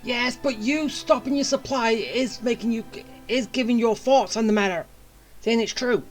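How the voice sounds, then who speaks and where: A woman's voice: a little high, normal speed, soft background music. A woman giving casual, stumbling reaction commentary over a video game. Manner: informal, stumbling. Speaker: gamer commentating over gameplay.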